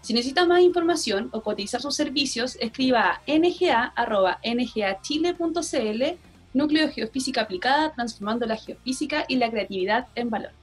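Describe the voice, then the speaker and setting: A woman reading a formal scripted sponsor announcement in a measured tone. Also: a little high, lively intonation, slightly rough, soft background music.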